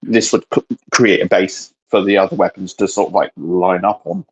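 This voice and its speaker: A man co-hosting a casual geeky chat, speaking in halting, hedged phrases. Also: sits low, steady tone, husky, rough recording. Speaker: co-host of a hobby discussion show.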